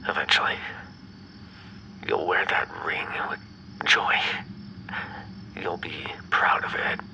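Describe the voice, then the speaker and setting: A man playing an obsessive, bitter, menacing ex-lover in an ASMR roleplay, speaking in a breathy, brooding half-whisper. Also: low voice, fairly even intonation, full character voice, rough recording. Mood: coldly insistent. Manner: slow, brooding, theatrically menacing. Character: obsessive, bitter yandere male vampire ex-lover, menacing captor.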